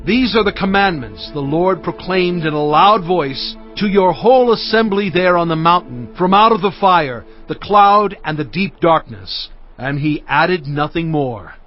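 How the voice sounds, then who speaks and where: A man's voice: fairly high pitch, expressive, a little slow. An actor playing an earnest prophet who addresses his people with emphatic oratory in a dramatized scripture reading. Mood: earnest exhortation. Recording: slightly dull sound, faint music behind. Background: faint music.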